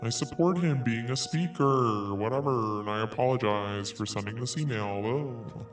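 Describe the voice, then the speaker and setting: A man hosting a podcast, reading an email out loud in a mocking tone and breaking in with snarky asides. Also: mid-range voice, distant mic. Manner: sarcastic, cutting in with snarky asides. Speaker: podcast host. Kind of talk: commentary, reading an email aloud.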